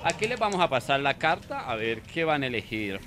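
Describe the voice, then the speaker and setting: A man's voice: medium pitch, sing-song, brisk, lightly acted. A man with a Colombian accent voicing a polite, formal waiter at a fancy restaurant in a radio joke. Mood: formal welcome. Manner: polite, ceremonious.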